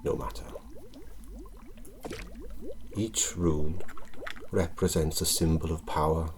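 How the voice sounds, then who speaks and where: A scheming, wretched old court alchemist, as a man acts him out with a Welsh lilt, his voice sunk extremely deep. He talks slowly and softly in an archaic hush that drops to a whisper at times, in an ASMR roleplay. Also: steady tone. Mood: hushed, explanatory. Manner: archaic thee-and-thou speech, soft and hushed, sometimes whispered.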